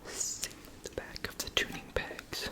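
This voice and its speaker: A person whispering an informal ASMR guitar lesson, hesitating and repeating key words. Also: a little slow. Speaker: guitar explainer.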